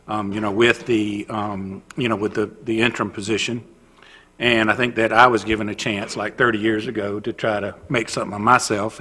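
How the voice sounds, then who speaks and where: Lower-voiced man councillor, bright and forceful tone, halting with restarts, long chamber reverb. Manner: halting, many restarts and fillers, then firm. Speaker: city council member. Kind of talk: formal remarks at a council meeting.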